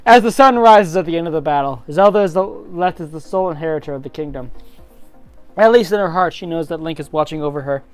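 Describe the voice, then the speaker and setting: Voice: mid-range voice, fast, soft background music, low-quality recording. An amateur narrator and co-host who reads a story dramatically and chats casually about it.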